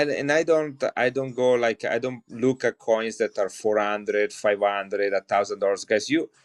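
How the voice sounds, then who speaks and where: A middle-aged man from Italy with an Italian accent, chatting enthusiastically to viewers as he shows and sells coins on a live stream. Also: on the low side. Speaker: coin seller on a live stream. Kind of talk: casual live-selling chat.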